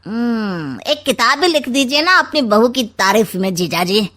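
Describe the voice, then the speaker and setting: Sly, mocking uncle for a comic cartoon story, played by a woman; theatrical drawl, very unhurried. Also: on the high side, lively intonation, fairly bright tone. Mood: sarcastic. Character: scheming, mocking middle-aged maternal uncle, a man. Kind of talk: comic Hindi animated moral story.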